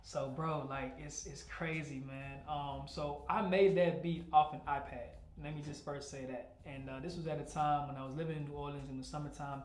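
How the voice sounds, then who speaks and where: A man's voice: fairly high pitch, steady tone, moderate pace, few pauses, echoey room. A young man from Nigeria, a rap artist, chatting casually and laid-back in an interview, often saying "you know what I'm saying.".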